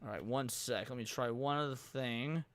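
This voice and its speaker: A man's voice: mid-range voice, normal speed. A man giving casual live commentary while he works, muttering to himself and thinking aloud. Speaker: reaction host.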